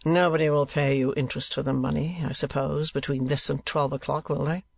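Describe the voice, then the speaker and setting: A man voicing a cold, sardonic moneylender in a storybook reading, with dry, contemptuous, deliberate mockery. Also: fairly high pitch, steady tone, gravelly. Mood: sneering.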